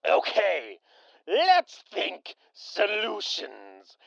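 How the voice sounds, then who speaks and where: A woman plays a jittery raider underling in a wasteland radio play. The voice cracks with fry and leaps wildly in pitch, frantic and whining. The sound is somewhat dull. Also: huge pitch swings, very slow, heavily acted. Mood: panicked, exasperated. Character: panicky raider sidekick, cowed and pleading, prone to shouting. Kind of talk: post-apocalyptic audio drama acting.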